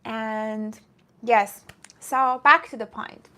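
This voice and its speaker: A woman's voice: on the high side, fairly even intonation, drawn-out words, sharp tone. A woman from Iran who streams about fitness, chatting casually with viewers in a rambling way and often breaking off to greet commenters.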